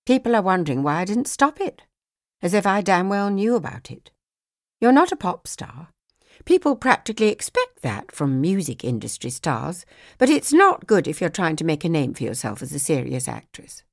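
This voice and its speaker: A woman voicing a hard-nosed, scolding female talent agent in an audiobook, with a brisk, blunt, emphatic manner. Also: low-pitched, creaky, dry recording, studio quality, full character voice.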